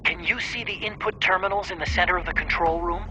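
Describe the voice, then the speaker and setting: A man's voice: high voice, fairly even intonation, quick pace, sharp tone, a little hiss or hum, low-quality recording. A man playing an earnest, eager technician in a video game, patiently explaining things over a radio. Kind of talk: video game cutscene acting.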